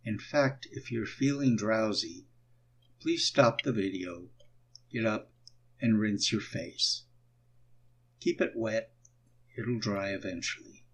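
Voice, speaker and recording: Slow, husky male meditation guide. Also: fairly low pitch, fairly bright tone. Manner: instructional, with short deliberate phrases.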